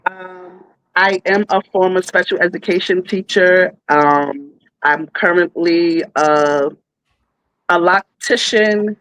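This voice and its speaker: A woman's voice: lowish voice, takes it slowly, a bit bright, no room sound, rough recording. Thirtysomething woman with a New York accent, chatty, dry recording. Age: 30s. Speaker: contestant.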